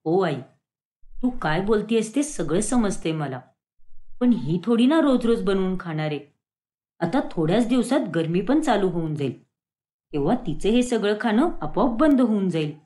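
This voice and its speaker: A woman voicing a reasonable young man, a son and husband who pacifies his family in an animated moral story. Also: mid-range voice, normal speed, voice pushed down, natural voice. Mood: placating.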